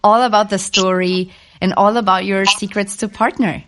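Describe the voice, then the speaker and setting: A woman's voice: medium pitch, steady tone, normal speed, fairly bright tone, slight background noise. A woman hosting a podcast, reading a scripted intro and then asking interview questions in an upbeat, eager way. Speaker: podcast host and journalist.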